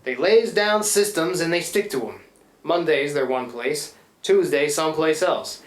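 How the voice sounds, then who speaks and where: A man's voice: a little high, a little slow, lightly acted. A man playing a scrappy, stubborn but devoted riverboat engine man in a radio play, with folksy, ungrammatical speech. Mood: folksy, scheming. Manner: folksy, ungrammatical, hesitant when tender. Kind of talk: old-time radio play acting. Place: live theatre stage. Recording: roomy live stage sound.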